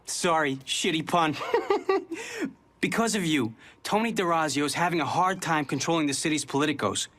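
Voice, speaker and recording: A muckraking reporter from a video game, with a fairly high male voice. He is chatty and flippant, always wry as he pitches his scoop. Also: fairly bright tone. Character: cheeky, fast-talking male muckraking newspaper reporter. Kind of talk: video game cutscene acting.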